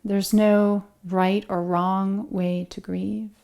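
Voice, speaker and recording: A woman guides a relaxation meditation in a gentle, calming manner. Her delivery is very slow, with long pauses between phrases. Her mid-pitched voice often settles into a noticeable creak. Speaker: yoga and meditation instructor.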